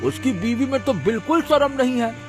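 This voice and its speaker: Man acting a high-pitched scornful village shopkeeper. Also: lively intonation, moderate pace, a little mellow. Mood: gloating, contemptuous. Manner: scolding, contemptuous. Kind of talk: cartoon story character acting. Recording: music under the voice.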